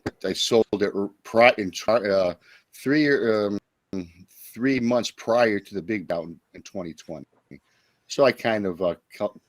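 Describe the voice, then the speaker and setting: A middle-aged man from Michigan giving a conversational personal account as a guest, in a halting, rambling way. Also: low-pitched, dry recording. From Michigan, United States. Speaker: podcast guest, Bigfoot eyewitness.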